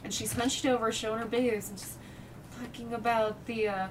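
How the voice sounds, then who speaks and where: A woman's voice: fairly high pitch, fairly even intonation, moderate pace. A woman co-hosting a podcast in casual, irreverent, freely profane banter. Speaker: podcast co-host. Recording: distant mic, noisy background.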